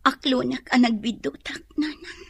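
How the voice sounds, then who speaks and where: High, husky, despondent young daughter. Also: female voice, moderate pace, a bit bright, no room sound, exaggerated voice. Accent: Ilocano. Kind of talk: radio drama acting.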